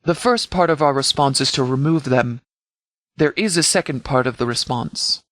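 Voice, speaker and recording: A man playing a commanding sheriff in a video game, speaking formally and deliberately as he briefs subordinates. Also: medium pitch, fairly bright tone, no room sound, lightly acted. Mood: firm, composed. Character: commanding, measured sheriff leading an armed department.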